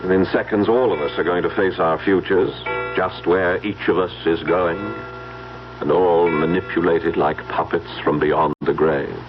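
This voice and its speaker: An actor playing a sardonic, brooding older stepbrother with a wry, theatrical manner in a radio drama. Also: male voice, sits low, steady tone, normal speed, over music, lightly acted. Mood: sardonic, ominous. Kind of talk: 1970s radio drama acting. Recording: dull lo-fi old radio broadcast, faint noise.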